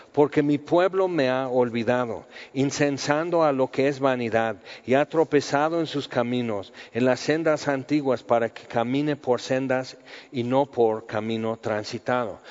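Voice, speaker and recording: Male preacher, conversational sermon delivery, muffled recording in a large echoing hall. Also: mid-range voice. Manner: conversational, with rhetorical questions and voiced quotes. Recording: muffled audio with long hall reverb.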